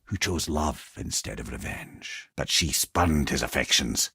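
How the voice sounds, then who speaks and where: Old scottish know-it-all talking head from a video game, witty storyteller, really animated pitch, dry studio sound. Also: male voice, a little slow, creaky, husky, no room sound, heavily acted. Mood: solemn storytelling. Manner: wry, eloquent, colloquial storyteller. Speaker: companion and lore-teller.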